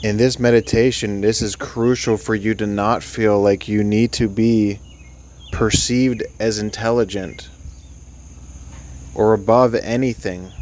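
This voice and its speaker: A man leading a guided sleep meditation, speaking gently and soothingly in an unscripted, halting way with long pauses. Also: fairly low pitch.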